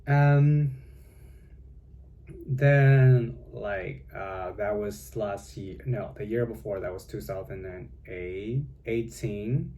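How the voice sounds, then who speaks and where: A man's voice: lowish voice, slow pace, mellow. A young man from China with a Chinese accent, speaking English as a second language and chatting casually in a vlog from home, rambling with many fillers and self-corrections. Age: young adult. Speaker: vlogger. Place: home with cats.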